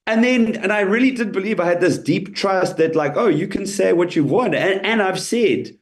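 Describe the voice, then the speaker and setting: A man's voice: sits high, normal speed. Chatty comedian man telling a story. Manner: chatty storytelling, laughs easily, many restarts. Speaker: comedian guest. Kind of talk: interview.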